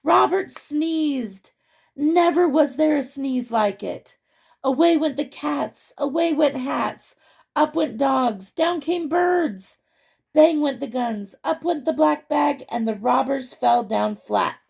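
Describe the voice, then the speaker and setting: A woman hosts a children's storytime with a fairly high voice that crackles heavily with fry. She reads expressively, then chats to the young listeners, asking them questions and pointing out the pictures. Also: expressive, takes it slowly, darkish tone. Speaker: storytime host reading a picture book to children. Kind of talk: read-aloud storytelling with chatty asides to young listeners.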